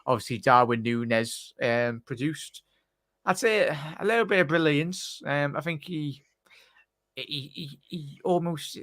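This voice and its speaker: Bright-voiced chatty male host. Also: on the high side, measured pace, dry recording, studio quality. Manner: chatty, calls everyone mate. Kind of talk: casual live football reaction chat. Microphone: video call.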